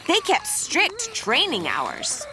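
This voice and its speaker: A woman reading a children's picture book aloud in an animated, theatrical manner with dramatic emphasis. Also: very high-pitched, slow pace, over music, low-quality recording. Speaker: storybook reader.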